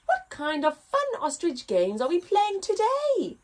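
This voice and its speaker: A woman's voice: very high-pitched, wildly swooping pitch, measured pace, a little mellow, pitched above the performer's own voice, exaggerated voice. A woman voicing an eager, hopeful little boy giraffe who pleads to play, in a children's storybook reading.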